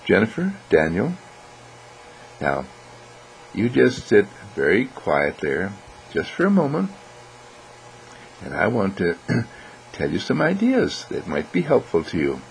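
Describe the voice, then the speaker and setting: Guy doing a gentle talking candle telling bedtime stories, creaky voice, noisy recording, takes his time. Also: fairly low pitch, wildly swooping pitch, full character voice. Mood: soothing, instructive. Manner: gentle, reassuring, unhurried with deliberate pauses. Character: Mister Candle, kindly talking bedside candle storyteller. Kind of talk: bedtime storytelling for children.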